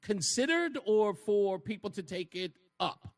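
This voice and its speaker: High-voiced male talk radio host. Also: very animated, no echo. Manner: emphatic, conversational, quick agreeing interjections.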